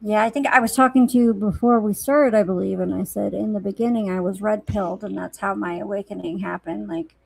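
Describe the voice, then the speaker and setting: A woman's voice: mid-range voice, steady tone, fairly quick, gravelly, hardly any pauses, darkish tone, husky, no room sound, low-quality recording. A woman chatting casually about her beliefs in a reflective, plain-spoken and opinionated way. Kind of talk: casual spiritual conversation.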